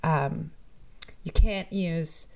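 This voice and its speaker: A woman's voice: low voice, extremely slow, gravelly, warm tone. Chatty, thoughtful woman from Toronto. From Toronto, Canada. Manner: thoughtful, chatty, laughs often. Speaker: musician and guest. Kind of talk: casual podcast interview.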